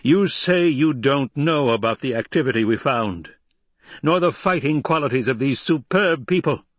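An imperious Emperor, as a male narrator plays him, declaiming in a raised, forceful pitch with biting scorn. He is domineering and mocking, every phrase a command, set in a clean, dry audiobook recording. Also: lively intonation, normal speed, husky, dry recording. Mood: enraged. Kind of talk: dramatic audiobook character voice.

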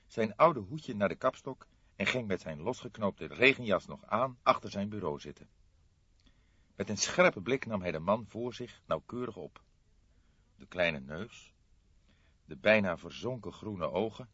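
A man's voice: on the low side, animated, unhurried, a bit bright, husky, no echo, highs cut off. A man reading scripted story narration as an audiobook narrator.

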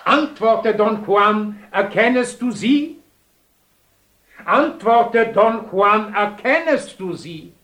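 An actor playing a stern priest at a wedding, declaiming in a ringing, ceremonial way. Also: male voice, high-pitched, lively intonation, takes it slowly, a bit bright, exaggerated voice. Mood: solemn. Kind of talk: radio drama, liturgical declamation. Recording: echoing church-like acoustic.

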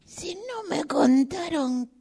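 A woman voicing a lonely old male tortoise in improvised radio theatre, with a Rioplatense Spanish accent and a halting, plaintive, breathy delivery. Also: high voice, animated, warm tone, heavily acted. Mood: wistful, sly. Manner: halting, plaintive, rambling, breathy drops into near-whisper.

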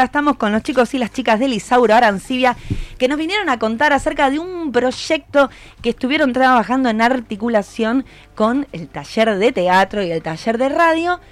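A woman's voice: high voice, animated, normal speed. A woman with an Argentine Rioplatense accent hosting a radio show in a conversational interviewing style, prompting her guests with questions. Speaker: radio host.

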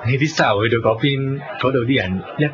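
A man acting a possessive, smooth-talking young businessman who politely needles others in a Cantonese radio drama, with a Hong Kong accent. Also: mid-range voice, fast talker, natural voice. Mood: condescending. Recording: muffled radio recording, noisy crowded venue ambience.

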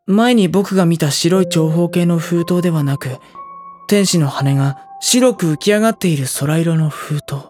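A man's voice: a little high, moderate pace, darkish tone, soft background music, lightly acted. An actor playing an anxious, introspective teenage schoolboy, narrating his brooding inner thoughts in a Japanese audio drama. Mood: tense, brooding.

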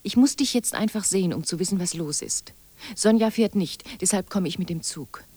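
A woman's voice: medium pitch, fairly quick, mellow, husky, no room sound, natural voice. An actress playing a disappointed, resolute wife in a radio drama, speaking her letters in an intimate, reproachful, articulate way. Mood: hurt, pressing.

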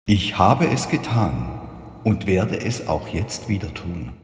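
A majestic male deity speaking from the sky in a radio play. The voice is very deep and slow, swimming in long cavernous reverb. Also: big pitch swings, full character voice. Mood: majestic, solemn. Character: majestic voice of God from heaven. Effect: long heavenly reverb.

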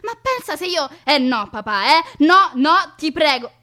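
A woman's voice: sits high, very animated, a little slow, bright, forceful tone, full character voice. An actress playing a chatty, anxious young woman on the phone in a dramatic monologue, speaking colloquial, rambling Italian with a Roman accent and emphatic exclamations. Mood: insistent, pleading. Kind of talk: dramatic monologue reading as one side of phone calls.

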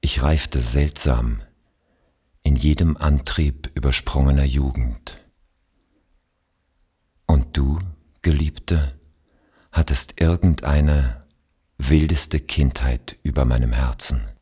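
Very deep, husky man reciting poetry slowly. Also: fairly even intonation, mellow. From Austria. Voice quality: husky, breathy. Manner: measured, with long pauses between phrases. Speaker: speaker reading poetry. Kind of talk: slow literary poetry recitation.

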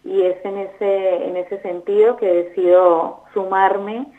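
A woman's voice: medium pitch, fairly even intonation, takes it slowly. A young woman, a guest in an interview, speaking thoughtfully with many hesitations and restarts. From Colombia. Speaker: guest, foundation volunteer. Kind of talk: interview over the phone. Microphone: phone. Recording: tinny phone line.

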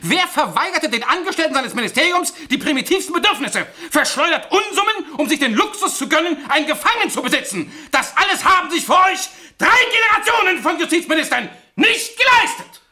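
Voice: extremely high pitch, sing-song, brisk, hardly any pauses, sharp tone, exaggerated voice. An actor in a radio drama playing a haughty, thrifty monarch with a condescending, pointed manner. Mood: indignant. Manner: condescending, pointed, rhetorical questioning. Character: haughty, thrifty monarch rebuking an aging minister.

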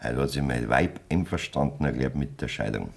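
An actor playing a boastful, vindictive middle-aged Bavarian farmer in a radio drama, speaking coarse, earthy dialect. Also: male voice, extremely low pitch, fairly quick, gravelly, breathy edge, exaggerated voice. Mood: smug, gleeful.

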